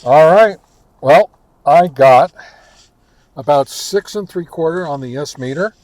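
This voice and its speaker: A man giving a casual, informal product review and field test, talking in a halting, conversational way. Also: on the high side, a little mellow. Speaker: ham radio reviewer and vlog host.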